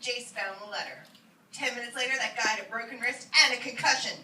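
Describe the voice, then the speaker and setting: A woman's voice: a little high, fast, sharp tone. A woman acting an angry, threatening young woman's voicemail in a comic dramatic reading. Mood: indignant, threatening. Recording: roomy, noisy, distant old iPod recording.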